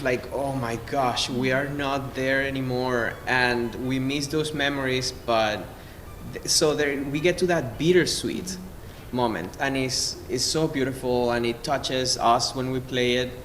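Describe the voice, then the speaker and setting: A man's voice: mid-range voice, fairly even intonation, fairly bright tone. Guy from venezuela, cellist, gushing about music but searching for words, big echoey hall, bit of noise. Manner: effusive, halting, searching for words. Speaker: cellist in a string quartet. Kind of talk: informal spoken introduction to music. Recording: noisy, long hall reverb.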